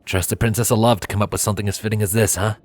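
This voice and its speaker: A man's voice: fairly low pitch, fairly even intonation, racing, lightly acted. A man voicing a kindly, reassuring male pony in a fan fiction story reading. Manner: reassuring, casual. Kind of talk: fan fiction audiobook character voice.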